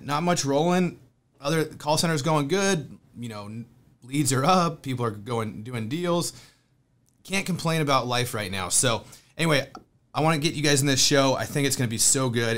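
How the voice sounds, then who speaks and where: A man's voice: mid-range voice, fairly bright tone. A man hosting a casual podcast, stuffed up with a cold, talking in an upbeat, rambling way with frequent restarts. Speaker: podcast host.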